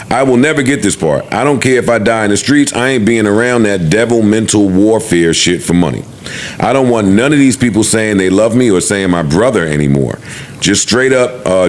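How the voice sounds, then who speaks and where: A man's voice: fairly low pitch, slight background noise. A man hosting a podcast in casual panel talk. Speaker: podcast host.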